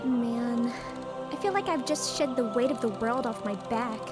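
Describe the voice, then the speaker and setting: A woman's voice: a little high, normal speed, loud music behind the voice, natural voice. A woman voicing an articulate, philosophical schoolgirl with an anxious streak in a dating sim fan dub, speaking in a brooding, formal way. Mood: relieved, grateful.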